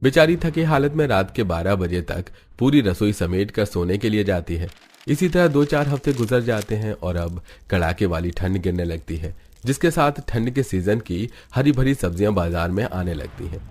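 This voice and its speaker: A man with a deep voice narrates a cartoon family tale. The delivery is scripted storytelling, moving through household events as a narrator. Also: animated, moderate pace, a little mellow.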